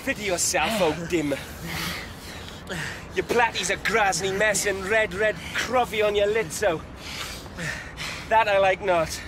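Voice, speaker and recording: An actor playing a swaggering, taunting teenage gang leader in a theatrical, mock-grand radio drama style. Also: male voice, high-pitched, slow, pauses often, noisy background. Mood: coolly reproving.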